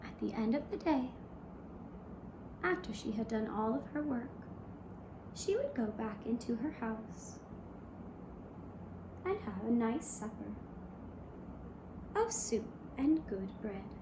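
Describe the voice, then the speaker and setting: A woman storyteller narrating a fairy tale for young children in a gentle, measured manner with short pausing phrases. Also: on the high side, expressive. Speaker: puppet show storyteller. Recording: roomy echo, clear background noise.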